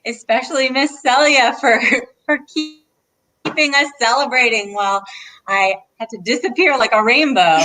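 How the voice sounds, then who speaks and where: A woman's voice: on the high side, a little slow, sharp tone, rough recording. A woman co-hosting children's story time, leading a movement rhyme in a playful, sing-song way.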